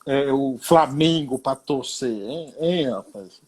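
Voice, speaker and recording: A man's voice: mid-range voice, lively intonation, takes it slowly, darkish tone, breathy edge. A man hosting an interview, asking questions in a chatty, enthusiastic way. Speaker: interview host. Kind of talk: casual interview chat.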